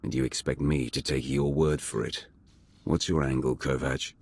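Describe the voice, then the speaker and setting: An actor playing a cold, menacing Sith agent in a video game, with a terse, commanding delivery and gravelly voice. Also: male voice, a bass voice, flat delivery, moderate pace, full character voice. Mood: cold, accusing. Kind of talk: video game cinematic acting.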